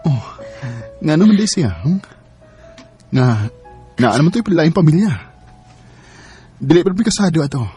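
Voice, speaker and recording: A man acting an earnest, gentle suitor in a Bisaya radio drama, with a Cebuano accent. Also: on the high side, lively intonation, moderate pace, pauses often, breathy edge, soft background music. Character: earnest, gentle male suitor courting a single mother.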